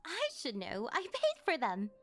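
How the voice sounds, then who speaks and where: A high, very animated voice from a woman acting as a rich older yandere girlfriend in an ASMR roleplay. She is doting and possessive, coaxing her sweetheart in a sweet, slightly awkward ramble. Faint music plays behind her. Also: unhurried, heavily acted. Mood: boastful. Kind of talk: scripted ASMR roleplay spoken to the listener.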